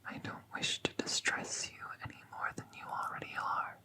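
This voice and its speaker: A woman playing a gentle magical veterinarian in an ASMR roleplay, soothing and reassuring in a hushed, breathy voice. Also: normal speed, close to an everyday voice.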